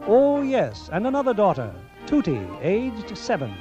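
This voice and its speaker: Male storyteller for 1940s radio drama: animated, high pitch, muffled old broadcast sound. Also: unhurried. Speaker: narrator. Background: music.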